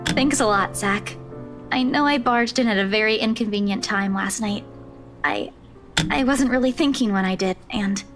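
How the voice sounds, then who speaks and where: A woman's voice: mid-range voice, normal speed, a bit bright, faint music behind, natural voice. An actress playing a polite, earnest young woman in a visual novel, considerate toward her friend. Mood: apologetic.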